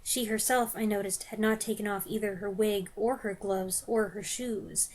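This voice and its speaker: A woman giving an expressive dramatic reading of a children's book, with joking asides. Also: mid-range voice, normal speed. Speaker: reader.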